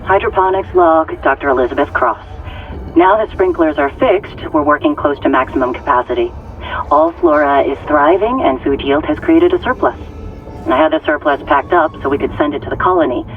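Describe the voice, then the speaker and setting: A woman's voice: deep voice, brisk, a little hiss or hum, natural voice. An actress playing an earnest, committed woman scientist recording audio logs in a sci-fi horror game. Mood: businesslike, irritated. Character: committed female hydroponics scientist facing deadly disaster. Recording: tinny comms-like log, music behind.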